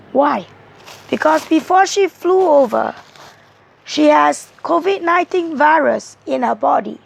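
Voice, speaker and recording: A woman with a hoarse voice, giving a rambling, halting monologue as a tarot reader. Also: high-pitched, slight background noise. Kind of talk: casual tarot video monologue.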